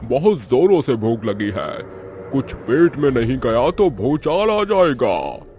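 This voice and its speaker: A man's voice: mid-range voice, sing-song, takes it slowly, mellow, faint music behind. A man voicing a hungry, greedy male ghost in a cartoon, drawling and exclaiming. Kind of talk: cartoon voice acting.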